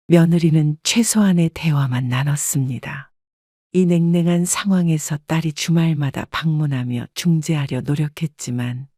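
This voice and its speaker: A woman narrating a scripted first-person family drama in a calm, even storytelling manner. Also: low-pitched, no room sound, clean studio sound.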